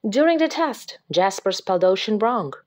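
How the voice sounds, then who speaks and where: A woman reading a picture book aloud to children, dramatic and expressive, dropping into hushed whispers at the creepy parts. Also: medium pitch, moderate pace. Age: adult. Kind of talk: expressive read-aloud storytelling.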